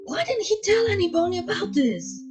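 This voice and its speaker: A woman's voice: extremely high pitch, sing-song, brisk, darkish tone. A woman voicing a brash, hot-tempered young pegasus mare in a story reading. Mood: furious. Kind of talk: fan fiction character reading. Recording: music under the voice.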